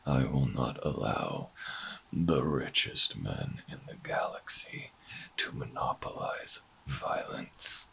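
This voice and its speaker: Extremely deep, gravelly older revolutionary. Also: male voice, lively intonation, sharp tone, whispered, heavily acted. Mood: defiant, seething. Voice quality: very gravelly, husky. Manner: dramatic, brooding, halting monologue. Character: bitter older human revolutionary fugitive, defiant and theatrical. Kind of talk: audio roleplay drama acting.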